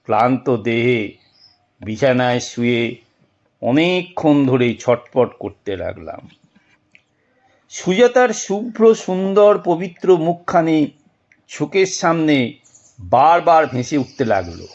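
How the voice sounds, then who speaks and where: A man narrating a detective story in the first person, theatrical and suspenseful, with deliberate phrasing. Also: mid-range voice, very animated, a little mellow, highs cut off.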